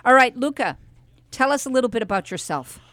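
A middle-aged American woman hosting a talk radio show in a chatty, animated way with emphatic asides and rhetorical questions. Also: on the high side, a little fast, no echo. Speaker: radio host.

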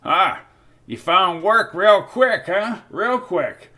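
A man's voice: high-pitched, expressive, takes it slowly, pushed and bright, pitched above the performer's own voice, exaggerated voice. A man reading the part of a sly, gossipy small-town official who speaks in a confiding, folksy way.

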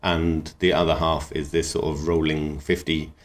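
A man's voice: rumbling low, level tone, normal speed. A man, talking in an easygoing, rambling and enthusiastic way as an interview guest about his craft and cooking. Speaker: knifemaker and cook. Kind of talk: relaxed interview talk.

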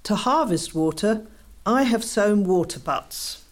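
A woman acts a townswoman campaigner in a scripted radio drama. Her voice is medium in pitch. She delivers her lines in an earnest, declarative way. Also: animated, takes it slowly. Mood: resolute. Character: earnest townswoman urging care for water. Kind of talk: scripted community radio play.

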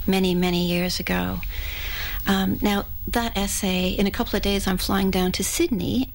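A Canadian woman in her thirties with a mixed accent answers questions in a radio studio. She is thoughtful and friendly, pausing on fillers and restarting her phrases. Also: medium pitch, vocal fry, rough recording. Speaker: writer being interviewed. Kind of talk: radio interview.

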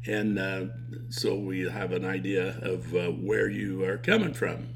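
A man hosting an interview podcast, speaking haltingly with many fillers and restarts. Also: sits low, creaky, noisy background. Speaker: podcast host.

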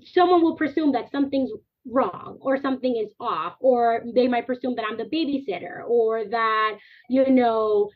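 A woman's voice: on the high side, normal speed, hardly any pauses. A woman in her thirties, born in the Dominican Republic, speaking earnestly as a podcast guest in long, flowing stretches of thought. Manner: earnest, animated, talks in long chains of clauses. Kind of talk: podcast interview. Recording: tinny phone-like line.

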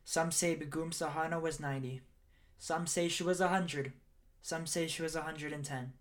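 Man playing a solemn cleric, higher-pitched voice. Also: steady tone, normal speed, natural voice. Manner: oratorical, emphatic, rhythmic repetition. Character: grave, solemn cleric giving a funeral eulogy. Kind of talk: radio play acting.

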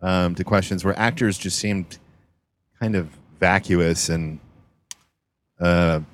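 Dry, low-voiced man driving. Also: steady tone, slight background noise. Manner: dry, deliberate, hesitant. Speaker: comedian guest. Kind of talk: casual chat. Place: driving a car.

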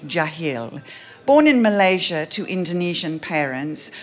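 A woman hosting a literary event, giving a prepared introduction in a measured, formal manner. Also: fairly low pitch.

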